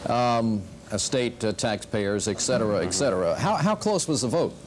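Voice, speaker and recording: A man's voice: mid-range voice, animated, moderate pace, a loud crowd. A man anchoring a local television newscast and handing over to a reporter. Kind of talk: news anchoring.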